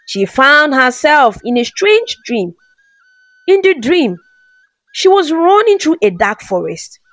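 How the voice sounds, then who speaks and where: A woman narrating a scripted folktale in a measured, dramatic storytelling manner. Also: high voice, no echo, low-quality recording.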